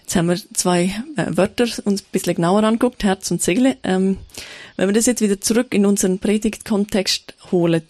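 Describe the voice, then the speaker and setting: A woman giving a sermon in a thoughtful, searching way, often pausing with fillers and restarting her sentences. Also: medium pitch, a little mellow, no echo. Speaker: preacher.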